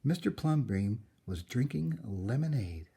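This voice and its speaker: A man reading a children's picture book aloud in a theatrical way, with dramatic pauses. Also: medium pitch, big pitch swings, creaky, warm tone. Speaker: story reader.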